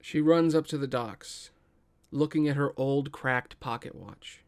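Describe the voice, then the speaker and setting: Male podcast host, scripted story narration, creaky, medium pitch. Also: a little slow, a little mellow. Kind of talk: scripted narration of a table read.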